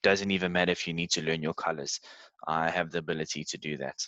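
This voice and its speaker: A man in his thirties from South Africa, an English tutor giving a relaxed, conversational presentation while sharing his screen, with frequent filler words. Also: deep voice, fast, no room sound.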